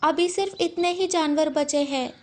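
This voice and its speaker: A woman's voice: high-pitched, fairly even intonation, moderate pace, darkish tone, pitched above the performer's own voice, lightly acted. A woman voicing a clever male rabbit in a children's story, speaking respectfully and pleadingly. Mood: worried. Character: clever rabbit reporting anxiously to his king. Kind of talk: animated children's story character.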